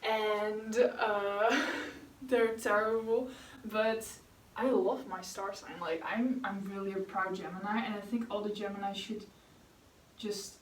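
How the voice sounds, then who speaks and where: A woman's voice: medium pitch, fairly even intonation, slow pace, echoey room, distant mic, a little hiss or hum, rough recording. A young woman from the Netherlands with a Dutch accent, chatting casually and rambling while she paints for her vlog. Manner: chatty and rambling, with fillers and self-corrections. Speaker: vlogger.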